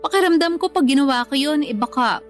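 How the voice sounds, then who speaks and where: A woman's voice: high-pitched, expressive, a little fast, faint music behind. A woman playing a weary, stubborn young Filipina single mother, defensive and resentful, in a radio drama. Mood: pleading, stubborn. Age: young adult. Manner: plaintive, defensive.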